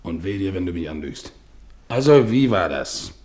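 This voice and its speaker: An actor playing a scornful, bullying working-class carpenter in a 1950s German radio drama, brusque and mocking in manner. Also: male voice, sits low, animated, normal speed, a little mellow. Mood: threatening.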